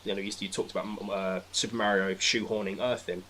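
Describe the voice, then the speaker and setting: A man's voice: on the low side, little pitch movement, fast talker, a bit bright, a little hiss or hum. A man chatting casually as a podcast guest about films and games, informal and descriptive. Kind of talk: casual film and game chat.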